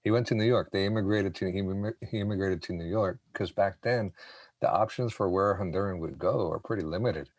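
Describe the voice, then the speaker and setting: A man's voice: sits low, fairly quick, a little mellow, dry recording. A man in his forties from Puerto Rico, a podcast guest telling stories in a casual, candid, informal way. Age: 40s. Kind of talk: casual conversational storytelling.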